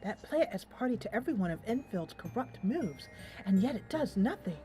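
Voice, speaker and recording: A woman's voice: medium pitch, very animated, brisk, mellow, faint music behind, close to an everyday voice. Woman voicing a melodramatic, suspicious teenage schoolboy. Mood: insistent. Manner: emphatic, melodramatic. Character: conspiracy-minded teenage schoolboy, dramatic, suspicious, stubborn.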